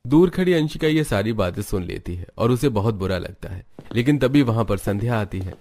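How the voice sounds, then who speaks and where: Guy narrating a hindi cartoon story, lowish voice, scripted read. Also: animated, a little fast, darkish tone, no room sound, studio quality.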